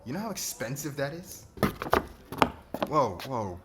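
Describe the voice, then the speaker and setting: A man's voice: medium pitch, sing-song, a little slow, heavy background noise. A man playing a cocky, flirtatious warrior commander in an ASMR roleplay, with a brash, teasing way of talking. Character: cocky, flirtatious warrior commander, crude suitor turning contrite.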